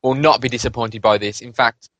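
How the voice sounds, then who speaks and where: A British man chatting casually about video games as a podcast panelist, with a hesitant, halting delivery. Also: medium pitch, fairly even intonation, a bit bright, no echo. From United Kingdom. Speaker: podcast panelist and game reviewer.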